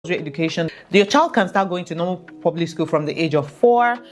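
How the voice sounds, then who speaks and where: A woman's voice: lowish voice, animated, a little fast. A woman speaking earnestly and directly to viewers as she gives practical advice in a vlog. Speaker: expat vlogger giving advice.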